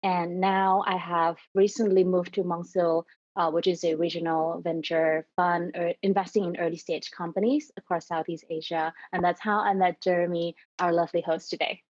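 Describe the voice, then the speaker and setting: A young woman from Thailand speaks with a lowish voice, recounting her path in a calm, measured way. The sound is dry and a little dull, like a remote call. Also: no room sound. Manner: measured, thoughtful storytelling with occasional laughs. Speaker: podcast guest, venture capital investor. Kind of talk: conversational interview.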